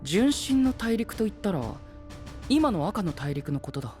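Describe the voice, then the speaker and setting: An actor playing a cool, refined, sceptical young knight with a measured, gentlemanly, slightly aloof manner in an anime audio drama. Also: male voice, sits high, big pitch swings, long reverb tail, faint music behind. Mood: matter-of-fact.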